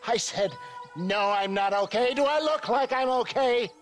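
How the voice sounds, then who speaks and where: An actor playing a wounded, melodramatic Old West swindler with florid speech and an American accent, in a video game. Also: male voice, very high-pitched, a little slow, a bit bright, faint music behind. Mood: pained, indignant. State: in pain, weakened. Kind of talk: video game cutscene acting.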